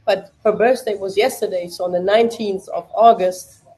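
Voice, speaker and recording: A woman's voice: mid-range voice, steady tone, normal speed, warm tone, low-quality recording. A young woman with a German accent, hosting a casual livestream watch party, chatting with many fillers and answering viewers' questions.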